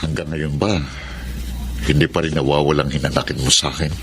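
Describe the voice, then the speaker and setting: A man's voice: very deep voice, level tone, a little fast, slight background noise. An actor playing an emotional middle-aged father just freed from prison, in a Filipino radio drama. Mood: pained.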